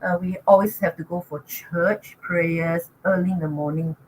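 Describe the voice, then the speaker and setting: An older Singaporean woman talks through her memories in a chatty, easygoing way, laughing as she goes. Her voice sits a little low. A faint noise hangs under the recording. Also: steady tone, moderate pace, darkish tone, rough recording. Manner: chatty, laughs often, many restarts. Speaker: guest. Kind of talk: casual interview talk.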